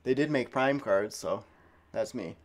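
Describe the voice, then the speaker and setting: A man in his thirties hosting a live card-opening stream indoors, talking in a low-key, dry way with mumbled asides. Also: a little slow. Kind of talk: casual live-stream chat. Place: indoor room full of card products.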